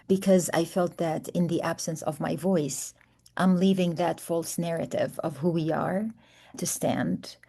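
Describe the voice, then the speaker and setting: Author in her fifties, raised in Saudi Arabia; low, warm voice, earnest and steady. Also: gravelly. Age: 50s. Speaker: contributing author. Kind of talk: personal introduction on a panel.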